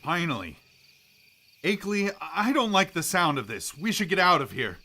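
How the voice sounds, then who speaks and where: Frightened scholar, swooping and sharp. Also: male voice, a little high, sing-song, normal speed, full character voice. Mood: nervous. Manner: agitated, exclamatory. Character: frightened, stubborn scholar, incredulous and increasingly frantic. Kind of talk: radio drama acting.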